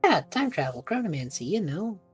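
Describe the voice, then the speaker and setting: A woman's voice: fairly low pitch, very animated, brisk, husky, soft background music. A woman playing an awkward, wide-eyed young male time traveller in improvised tabletop role-play, rambling eagerly. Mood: eager, awed.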